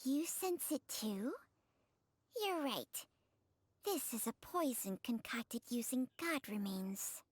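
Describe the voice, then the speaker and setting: A woman voicing an ancient, proud snake spirit in a video game, sardonic, sharp-tongued and self-assured. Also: a little high, expressive, slow pace, husky, no echo, full character voice. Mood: wary. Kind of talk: video game character acting.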